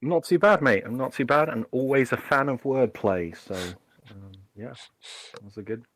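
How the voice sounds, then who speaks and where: A man's voice: medium pitch, moderate pace, fairly bright tone, no room sound. A British man co-hosting a podcast, speaking unhurriedly with many ums and uhs. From United Kingdom. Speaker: podcast co-host. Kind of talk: casual chat.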